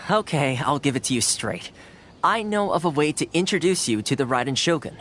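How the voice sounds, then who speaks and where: A man's voice: on the high side, expressive, brisk, fairly bright tone, slight background noise. A man playing an affable, wry young fixer in a video game, easygoing and persuasive as he talks. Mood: confiding. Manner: smooth-talking, easygoing, persuasive. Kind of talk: video game dialogue acting.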